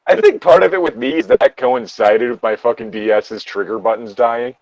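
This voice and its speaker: A man's voice: mid-range voice, sing-song, a little fast, gravelly, darkish tone, dry recording, dull audio. A man co-hosting a comic read-aloud, bantering casually and swearing with mock indignation. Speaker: co-host reading video game creepypastas aloud.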